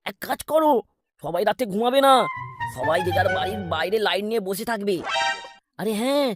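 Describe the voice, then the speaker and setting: A woman's voice: mid-range voice, expressive, brisk, over loud music, lightly acted. A woman voicing an older, indignant but cautious village father-in-law in cartoon dialogue. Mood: scheming.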